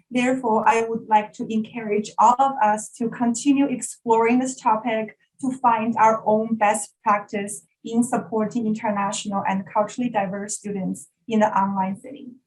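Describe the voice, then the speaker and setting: Female workshop facilitator, native Mandarin speaker with Chinese accent, fairly high pitch, formal and courteous presenting. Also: rough recording. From China. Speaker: workshop facilitator, educational developer. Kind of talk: workshop introduction, prepared presentation. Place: online video call session.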